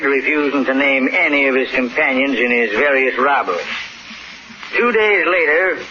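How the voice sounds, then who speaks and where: A man's voice: medium pitch, natural voice. A man playing a folksy, grave old Western storyteller, narrating an old time radio drama. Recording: muffled, noisy old radio recording.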